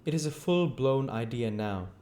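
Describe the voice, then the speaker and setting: A man's voice: mid-range voice, animated, normal speed, dark tone, natural voice. A man voicing an arrogant, bitter, volatile scientist on the run in a storybook, declaiming emphatically and indignantly. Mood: eager, boastful.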